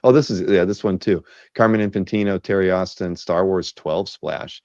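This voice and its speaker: Man, hoarse from a cold, very low voice, rambling fan chat, dry lo-fi call audio. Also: steady tone, normal speed, darkish tone, no echo. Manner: rambling, enthusiastic, many fillers. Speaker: podcast host. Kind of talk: casual chat reviewing art. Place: home office, video call.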